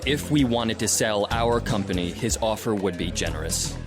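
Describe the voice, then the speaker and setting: An actor playing a reasonable but stubborn coder and company founder in an audio drama, measured and precise. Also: male voice, fairly low pitch, lightly acted. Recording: music playing behind the voice.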